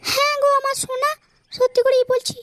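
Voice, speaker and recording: Squeaky, cutesy cartoon wife. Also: male voice, little pitch movement, brisk, a bit bright, no echo, raised voice. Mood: coaxing. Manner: coaxing, cutesy. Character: sweet-talking young wife meeting her secret lover. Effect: pitched-up voice changer. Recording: faint background noise.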